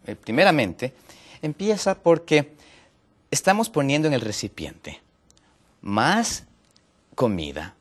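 A man from Ecuador, a natural medicine health counselor, explaining as a TV guest with many hesitant fillers and pitch rising on his points. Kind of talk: explaining on a TV talk show.

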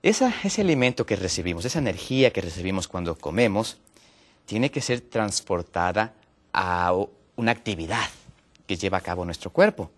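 Male guest from Ecuador with a lowish voice, hesitant and filler-heavy, pitch rising when he makes points. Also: very animated, moderate pace. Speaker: guest, natural medicine health counselor. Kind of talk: explaining on a TV talk show.

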